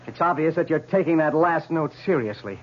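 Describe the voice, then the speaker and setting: An actor playing a protective, disapproving husband, firm and stern, in old radio drama style. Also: male voice, a little high, animated, brisk. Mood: stern, exasperated. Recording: muffled, hissy old radio recording.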